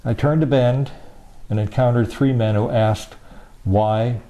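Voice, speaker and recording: A man from the United States reading another person's first-person statement aloud, in a slow, grave, deliberate way. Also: medium pitch, steady tone, mellow. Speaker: reader of a statement. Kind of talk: solemn measured reading.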